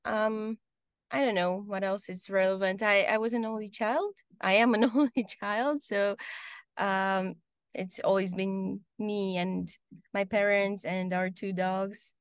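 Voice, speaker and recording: A young woman from Romania with a Romanian accent, answering interview questions casually on a video call, often pausing with ums and restarting sentences. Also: medium pitch, fairly even intonation, clean tone, no echo, studio quality. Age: young adult. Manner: hesitant, many ums and restarts. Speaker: guest. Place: home room, video call.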